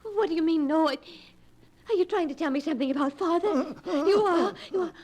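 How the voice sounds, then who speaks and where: An actress playing a frightened young woman who fears for her sanity, in halting, breathless old-time radio horror style. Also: very high-pitched, a little mellow. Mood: frightened, bewildered. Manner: breathless, halting, repeating words. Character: frightened young woman fearing she is going insane. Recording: noisy old radio recording.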